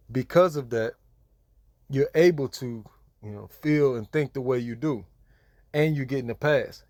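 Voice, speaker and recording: A man's voice: medium pitch, darkish tone, dry recording. An American man delivers preachy commentary in a mid-range voice. He is admonishing and emphatic, hammering points through repetition. The sound is close and dry, with very little room. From United States. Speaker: religious commentator.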